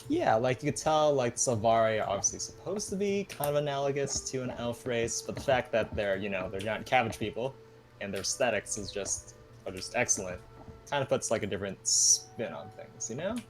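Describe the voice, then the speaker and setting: A man's voice: medium pitch, expressive, fairly quick. A young man chatting casually as a co-player during a video game session. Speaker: co-player in a let's play. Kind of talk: casual chat while gaming. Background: game music.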